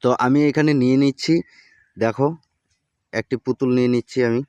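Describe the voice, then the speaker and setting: Quick-talking man presenting an informal tutorial. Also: medium pitch, fairly even intonation, a little mellow, clear, resonant tone, no room sound, highs cut off. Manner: repetitive, addresses viewers familiarly with catchphrases. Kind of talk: informal video tutorial narration.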